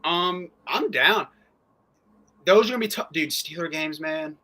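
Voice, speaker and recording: A man's voice: on the high side, unhurried, pushed and bright. A man co-hosting a sports livestream in casual, slangy, protesting banter. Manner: slangy, protesting banter, says bro and dude.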